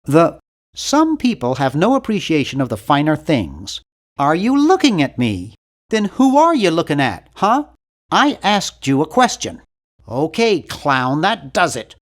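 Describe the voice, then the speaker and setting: A young, timid nerd from a cartoon adventure game. His pitch sits high for a man and swings widely from phrase to phrase. He sounds prim and bookish, with a wry, fussy edge. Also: wildly swooping pitch, a little slow. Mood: mock tough. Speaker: game character voice actor.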